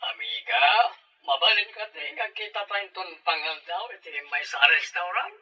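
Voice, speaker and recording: A man acting a bossy middle-aged father in an audio drama, with a husky voice. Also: high-pitched, normal speed, sharp tone, no room sound, lo-fi sound, exaggerated voice. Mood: casual, prodding. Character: bossy middle-aged parent arranging a child's marriage.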